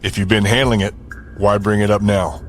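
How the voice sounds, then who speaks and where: An actor playing a brisk, commanding man who runs a territory in an audio drama. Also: on the low side, level tone, soft background music, natural voice. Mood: brisk authority.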